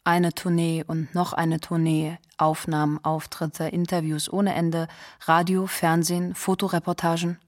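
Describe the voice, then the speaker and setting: Actress as a low-voiced, wistful former lover. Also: female voice, level tone, measured pace, lightly acted. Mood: wistful, resigned. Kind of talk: radio play documentary monologue.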